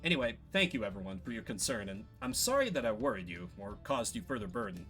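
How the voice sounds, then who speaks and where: A man plays a young exile companion from a fantasy game, kindly and upbeat. He talks casually and easily, with animated intonation. Faint music plays underneath. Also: lowish voice, fast, pitched below the performer's own voice, full character voice. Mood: easygoing, grateful. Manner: casual, friendly phrasing.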